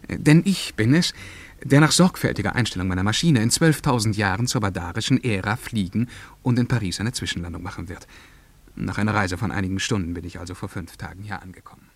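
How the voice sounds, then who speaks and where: An actor playing a forty-year-old time traveller from the far future in a German radio play, speaking in stately, ceremonious declamation. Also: male voice, on the low side, quick pace. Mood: solemn, grand.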